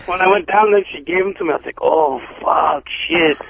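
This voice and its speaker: A man calling in to a radio show by phone, rushing eagerly through a personal story. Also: fairly high pitch, vocal fry, a crowd in the background. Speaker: caller. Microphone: telephone. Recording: muffled phone line.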